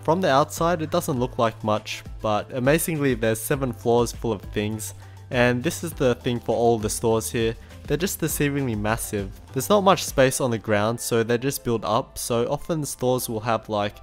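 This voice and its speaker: Young aussie guy doing a relaxed voice-over, mid pitch, bit of music behind. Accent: Australian. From Australia. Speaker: vlogger. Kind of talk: voice-over narration.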